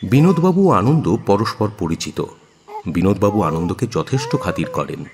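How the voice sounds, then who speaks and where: A man's voice: fairly low pitch, big pitch swings, moderate pace, darkish tone, a little hiss or hum. A man narrating a dramatised audio story as the storyteller. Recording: long hall-like reverb.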